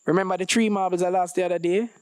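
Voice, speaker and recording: Man voicing an eager, higher-pitched young schoolboy. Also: fairly even intonation, rapid-fire, clean tone, no room sound, clean studio sound, natural voice. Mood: playfully disgusted. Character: curious, excitable young schoolboy, playful.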